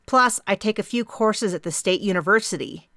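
A woman voicing a bubbly, chatty young woman with a faint Minnesota accent who talks fast in clipped phrases. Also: mid-range voice, a bit bright, dry recording, studio quality, pitched above the performer's own voice, natural voice. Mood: eager. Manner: fast, clipped, round vowels, bouncy.

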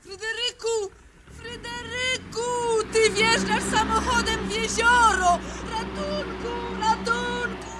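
A woman's voice: extremely high pitch, steady tone, slow, over loud music. An actress playing a brash, capricious and teasing woman in a 1970s Polish radio play. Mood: alarmed. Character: brash, capricious adult woman thrill-seeker, scornfully teasing.

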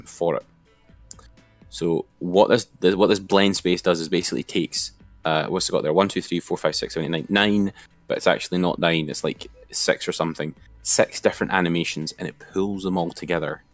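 A man talking someone through software step by step in an informal, hesitant way, thinking aloud. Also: low voice, no room sound. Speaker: software tutor. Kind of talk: informal step-by-step screen tutorial.

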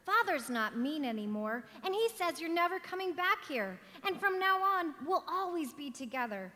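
A woman's voice: very high voice, sing-song, moderate pace, bright, forceful tone, exaggerated voice. A woman playing an eager, affectionate little girl in a staged radio play. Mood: joyful, loving. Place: on stage in a large theatre. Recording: theatre PA with long reverb.

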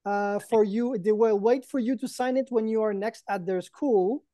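A man's voice: sits high, moderate pace, dark tone, no echo, clean studio sound. A man in his thirties or forties co-hosting an online event with jokey, mock-earnest banter. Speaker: event co-host. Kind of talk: casual playful banter. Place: indoors in front of a green backdrop, on a video call.

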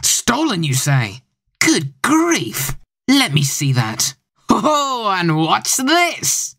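A man's voice: sits high, wildly swooping pitch, very slow, a bit bright, heavily acted. A teenage boy playing a pompous, scornful detective hero in an audio play, declaiming his lines. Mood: inquisitive, pompous. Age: teens. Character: pompous, insulting, flamboyant male detective hero.

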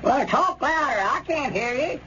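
An actor playing a fretful, hard-of-hearing old country storekeeper with a rural Southern American accent in radio comedy. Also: male voice, very high-pitched, expressive, unhurried, bright, forceful tone, heavily acted. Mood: querulous. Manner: folksy, querulous. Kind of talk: 1930s radio comedy acting. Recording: muffled, noisy old radio recording.

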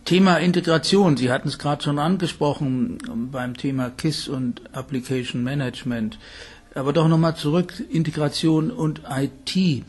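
An older man working as a journalist, putting measured interview questions. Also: mid-range voice, darkish tone, slightly rough. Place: telephone interview.

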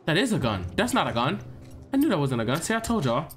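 A young man with a stuffed-up nose giving animated, joking gameplay commentary to his viewers. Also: fairly high pitch, quick pace, faint music behind. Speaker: gaming streamer.

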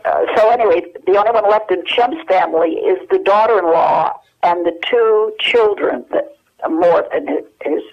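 Older woman, phone-in guest, halting and chatty; muffled telephone sound. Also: medium pitch. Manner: rambling, halting with many fillers. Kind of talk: telephone interview.

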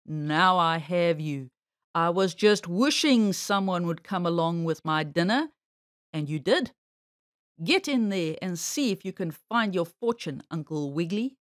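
Woman voicing a sly, slow-drawling storybook bear. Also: on the low side, expressive, dry recording, studio quality, voice pushed down. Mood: gloating, menacing. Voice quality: harsh. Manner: coaxing, drawn-out, boastful. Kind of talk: children's storybook character voice.

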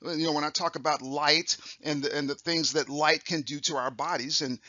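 A raspy older man lectures over slides in a loose, friendly way, stumbling into restarts and clearing his throat. His tone is very bright and pushed forward. The sound is dry and a little dull, close to the mic. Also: fairly high pitch, fairly even intonation, moderate pace, no echo. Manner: chatty, upbeat, frequent fillers and restarts. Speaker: optometrist lecturer. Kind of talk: recorded continuing-education lecture.

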